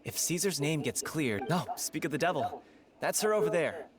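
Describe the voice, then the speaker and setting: Cocky, animated townsman. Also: a little high, moderate pace, recorded from a distance, crowd noise. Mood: boastful. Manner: boastful, animated. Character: cocky, boastful male townsman, a gossip. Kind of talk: video game voice acting.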